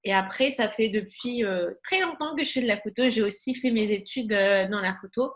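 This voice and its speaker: A woman's voice: medium pitch, moderate pace. A woman in her twenties, a freelance photographer, talking informally about herself in an interview. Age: 20s. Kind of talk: informal self-introduction and interview.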